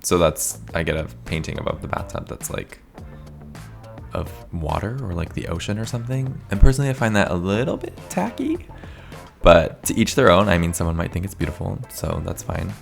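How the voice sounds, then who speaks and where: Hoarse low-voiced man. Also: sing-song, brisk, faint music behind. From Canada. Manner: chatty, rambling, says "like" often. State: slightly hoarse, losing voice. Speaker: video game builder, channel host. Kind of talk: casual voice-over commentary.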